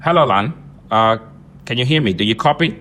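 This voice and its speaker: A man's voice: mid-range voice, expressive, fairly quick, fairly bright tone, a little hiss or hum. A man presenting and demonstrating a product in an explanatory, repetitive way.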